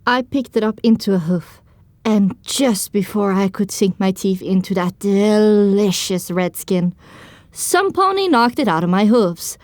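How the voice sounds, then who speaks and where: A woman narrating as a young pony heroine, telling her own story in descriptive, expressive scripted audiobook style. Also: medium pitch, unhurried, no room sound, close to an everyday voice.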